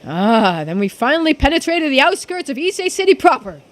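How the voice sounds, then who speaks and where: A woman's voice: high-pitched, very animated, normal speed, pitched above the performer's own voice. A woman voicing an eager, genteel investigator in a video game, with florid, exclamatory speech. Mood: curious, pleased. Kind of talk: game character dialogue read aloud. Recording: music behind the voice.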